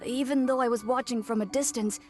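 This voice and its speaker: A woman's voice: fairly high pitch, steady tone, a little fast, a little mellow, lightly acted. A woman voicing a timid little childlike yokai who is polite and stammering, in an English game dub. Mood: nervous awe. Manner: shy, stammering, deferential. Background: faint music.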